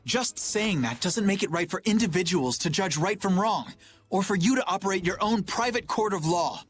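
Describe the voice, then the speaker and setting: A man's voice: sits high, expressive, normal speed, a little hiss or hum. A man playing an earnest, righteous young knight captain in a dubbed anime game, speaking formally and solemnly. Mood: stern, reproachful.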